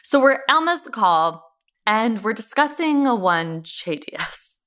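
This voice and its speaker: A woman with a bright, forward voice and swooping intonation chats as a podcast co-host. She tells pop-culture stories in a casual, animated style. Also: on the high side, a little slow. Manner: animated, chatty storytelling.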